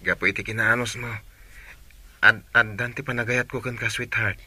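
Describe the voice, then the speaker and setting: Bright, forceful male sweetheart. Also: medium pitch, fairly even intonation, normal speed, breathy edge, no room sound. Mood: tender, loud. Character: tender sweetheart, a man in love. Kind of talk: radio drama acting.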